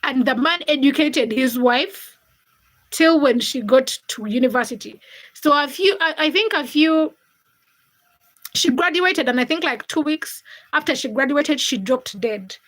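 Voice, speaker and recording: A woman's voice: high voice, expressive, unhurried, a bit bright, low-quality recording. A young woman from Uganda and Kenya hosting a casual livestream, reading out viewers' comments and teasing them by name. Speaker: livestream host.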